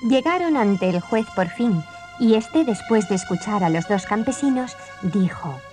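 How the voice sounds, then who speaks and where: A woman narrating a children's storybook cassette in a clear, lively telling. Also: mid-range voice, measured pace, soft background music.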